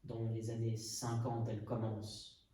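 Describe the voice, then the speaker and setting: A man's voice: on the low side, completely flat delivery, a little slow, dark tone. A man from Portugal hosting a podcast conversation, thinking aloud and hesitating often. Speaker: podcast host. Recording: echoey room, mic at a distance, faint noise.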